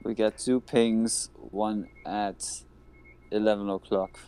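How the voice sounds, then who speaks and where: A man's voice: on the low side, level tone, slow pace, dark tone, clear, resonant tone. A man playing a tabletop horror game, speaking briefly and laid-back, in and out of character. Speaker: player.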